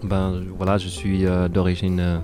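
Low, dark-voiced Finnish man. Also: completely flat delivery, normal speed, faint music behind. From Finland. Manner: hesitant, many restarts and fillers. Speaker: dancer and choreographer. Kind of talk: radio interview.

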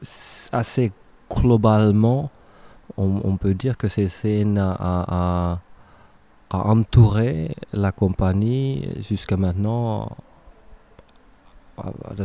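A man's voice: on the low side, warm tone. A man from Finland in his thirties, speaking with a Finnish accent as a dancer and choreographer interviewed on radio, hesitant with many restarts.

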